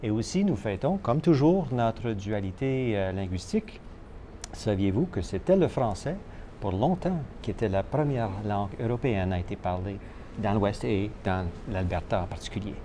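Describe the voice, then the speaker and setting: A man's voice: fairly low pitch, expressive, moderate pace, warm tone, slight background noise. A man co-hosting a casual TV talk show, jovial, laughing at his own stumbles and using many fillers. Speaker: co-host.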